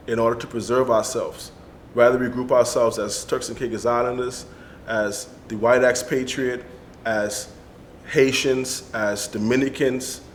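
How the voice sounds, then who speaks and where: A man speaking earnestly on a panel, building emphasis through repetition. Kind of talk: discussion. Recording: echoey room with low rumble.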